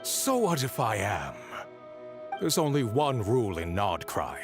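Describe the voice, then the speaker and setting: Game villain: ambitious, bitter merchant, smug and theatrical, very animated intonation. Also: male voice, on the low side, unhurried, exaggerated voice. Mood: smug, menacing. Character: scheming resentful male merchant villain, arrogant and ambitious. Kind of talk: dramatic video game voice acting. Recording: game music behind.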